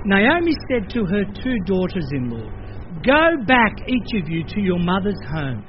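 An older man with an Australian accent, preaching a sermon in a lively conversational way, with playful asides. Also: sits high, unhurried, lo-fi sound, a little hiss or hum. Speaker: pastor.

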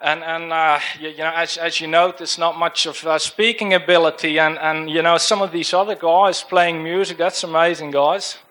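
A man's voice: fairly high pitch, fairly even intonation, normal speed, few pauses, fairly bright tone. A young man with a Dutch accent giving an informal church talk about a mission trip, hesitant with many fillers and restarts. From Netherlands. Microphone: handheld microphone.

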